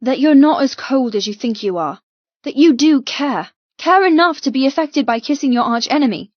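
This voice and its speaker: A woman's voice: high-pitched, animated, moderate pace, no room sound, dull audio, pitched above the performer's own voice. A woman voicing a defiant young heroine in an audiobook, challenging and emphatic. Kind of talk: audiobook character dialogue.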